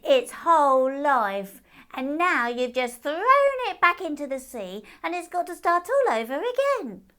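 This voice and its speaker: A woman voicing a silly, stubborn male magical hare in a children's story, insisting on things with childish emphasis and drawn-out words. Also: high voice, sing-song, fairly bright tone, pitched above the performer's own voice, exaggerated voice. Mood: indignant.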